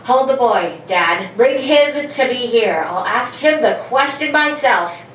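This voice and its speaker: In a very echoey room, a clever, self-assured detective son acted by a woman speaks from a distance in a comedic radio play. The voice sits fairly high, confident and reasoning, over faint noise. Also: animated, takes it slowly, a bit bright.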